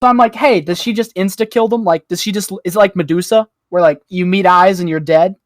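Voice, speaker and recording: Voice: mid-range voice, steady tone, brisk, dry recording. A person chatting casually on a podcast panel, riffing and speculating in a joking, rambling way. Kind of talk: casual joking group chat. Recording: close dry voice over guitar music. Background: guitar music.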